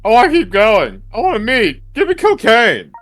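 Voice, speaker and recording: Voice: mid-range voice, huge pitch swings, moderate pace, a bit bright, no echo, voice pushed up. A performer voicing a manic, needy male sculptor, pleading and demanding like a child, in a game read-through.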